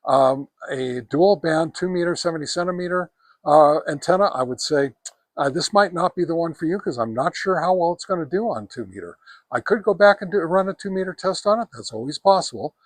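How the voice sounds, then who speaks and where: A man giving a casual product review outdoors on a backyard deck, talking through test results in a halting, folksy, conversational way. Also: fairly high pitch, expressive, dry recording. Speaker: host of radio gear review channel.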